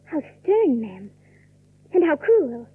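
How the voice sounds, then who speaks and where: A woman's voice: sits high, big pitch swings, takes it slowly, no echo, heavily acted. An actress playing a polite, eager, wide-eyed young country girl in a radio drama, earnest and deferential. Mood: wondering. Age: young adult. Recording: dry, muffled telephone-like old radio sound.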